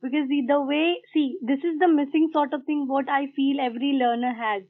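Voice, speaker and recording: High-voiced woman tutor over thin call. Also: steady tone, brisk. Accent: Indian English. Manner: earnest, emphatic. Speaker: English tutor. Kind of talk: casual conversation practice. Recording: tinny online call audio.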